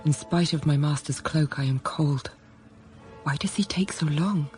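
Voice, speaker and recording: An actress playing an introspective young governess narrating her own story, hushed, intimate and deliberate, in a radio drama. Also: low-pitched, faint music behind. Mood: anxious, brooding. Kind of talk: dramatised first-person narration.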